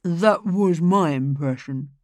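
A woman voicing a scheming middle-aged male lawyer with a smooth, insinuating manner. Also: on the low side, slow pace, darkish tone, dry recording, studio quality.

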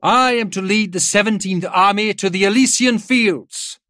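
A proud older general, acted by a male narrator, projects as if across a parade ground. His voice is raised well up in pitch and delivered as rousing, declamatory oratory in the manner of a war audiobook. The sound is close and dry. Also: normal speed, pushed and bright, no room sound. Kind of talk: dramatised audiobook character voice.

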